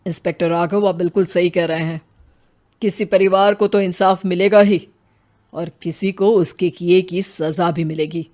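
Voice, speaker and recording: A woman voicing a commanding senior male police officer in a cartoon, with firm, measured questions. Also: fairly low pitch, steady tone, a little mellow, close to an everyday voice.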